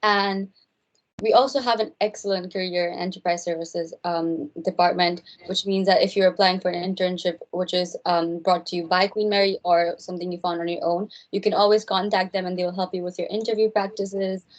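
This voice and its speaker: A woman's voice: fairly low pitch, level tone, fairly quick. Earnest young woman student presenter. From India. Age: young adult. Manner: friendly, earnest, hesitant with fillers. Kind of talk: informal presentation.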